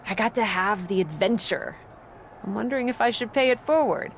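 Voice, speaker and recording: A woman's voice: mid-range voice, a little fast, a little hiss or hum, close to an everyday voice. A woman playing a plucky, cocky wrestler in a video game cutscene, speaking in a casual, teasing way. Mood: thoughtful, scheming.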